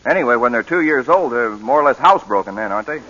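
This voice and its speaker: An actor playing a brash, blustering young husband with a husky voice in a 1940s radio drama. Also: male voice, medium pitch, lively intonation, racing, background noise, lightly acted. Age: young adult. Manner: wisecracking, blustering. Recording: muffled old radio broadcast.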